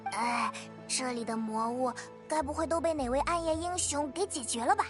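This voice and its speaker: A very high, squeaky little fairy played by a woman chatters with curiosity. She is childlike and expressive, a chatty game companion, with clear music playing behind her. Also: normal speed, lightly acted. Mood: puzzled. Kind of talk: Chinese video game voice acting.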